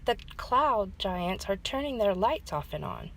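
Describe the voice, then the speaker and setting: A woman's voice: medium pitch, very animated, measured pace, fairly bright tone, slight background noise, poor audio. A woman voicing an imaginative, playful young hawk who reassures a friend in a children's storybook. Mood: reassuring.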